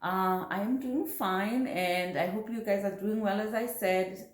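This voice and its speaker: A woman's voice: medium pitch, fairly even intonation, a little slow. A middle-aged woman with a South African accent talks casually and reflectively to camera, explaining things like a parenting vlogger. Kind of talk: casual personal talk to camera. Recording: roomy, echoey home recording.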